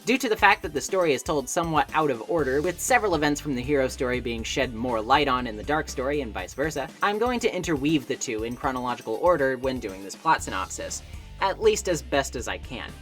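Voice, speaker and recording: A woman's voice: very deep voice, expressive, fast talker, a bit bright. A woman narrating a scripted video essay in a wry, conversational and articulate way. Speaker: video essayist, game reviewer. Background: background music.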